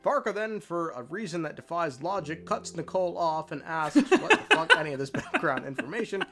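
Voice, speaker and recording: A man's voice: fairly high pitch, very animated, a little fast, sharp tone. A man narrating a comedic video game lore recap in an irreverent, sweary, slangy way. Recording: music behind narration.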